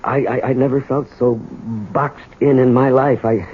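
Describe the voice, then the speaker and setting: An actor playing a troubled, desperate husband in a 1970s radio drama, halting and emotional. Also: male voice, mid-range voice, steady tone, slightly rough, narrow, tinny audio. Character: troubled, desperate husband and small business owner, bitter toward his father. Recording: thin, noisy old radio broadcast.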